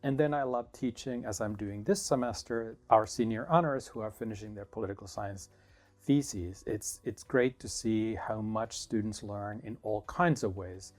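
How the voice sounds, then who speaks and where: Middle-aged male professor. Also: fairly low pitch. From Finland. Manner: thoughtful, measured, with small restarts. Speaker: political science professor. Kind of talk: interview answers.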